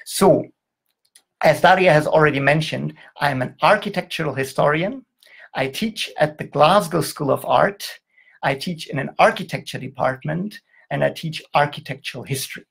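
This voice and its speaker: A middle-aged man from Germany giving an online lecture over a video call, talking in a halting way with restarts. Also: fairly high pitch, gravelly, a bit bright, breathy edge. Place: indoor room, video call.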